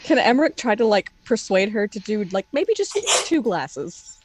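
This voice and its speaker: A woman's voice: medium pitch, sing-song, normal speed, rough recording. A woman playing a tabletop game and chatting casually. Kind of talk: casual chat.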